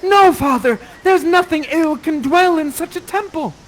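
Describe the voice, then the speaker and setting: A man's voice: extremely high pitch, a little slow, fairly bright tone, pitched above the performer's own voice, poor audio. A man voicing a sheltered young noblewoman, speaking verse in a raised, breathless voice. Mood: wonderstruck.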